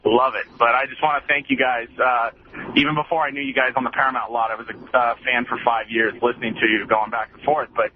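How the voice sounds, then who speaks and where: A man calling in to a radio show, friendly and grateful, speaking in a halting way. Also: mid-range voice, fairly even intonation. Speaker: caller. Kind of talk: phone-in call. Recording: tinny phone line with faint noise.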